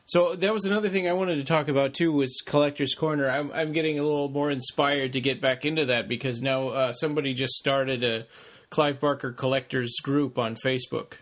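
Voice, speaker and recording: A man's voice: mid-range voice, steady tone, brisk, fairly bright tone. A man co-hosting a podcast in loose, casual conversation. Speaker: podcast co-host.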